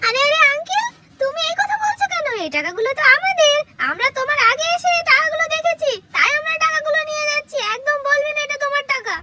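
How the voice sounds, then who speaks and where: A woman voicing an eager, stubborn, excitable little girl with a whiny edge in a children's doll story. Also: very high voice, fast talker, very noisy. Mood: indignant.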